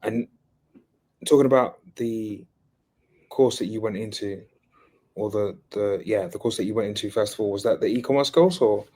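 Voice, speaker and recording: A man's voice: lowish voice, normal speed, pauses often, mellow. A man interviewing a guest in casual chat, saying "okay, cool" often and repeating phrases.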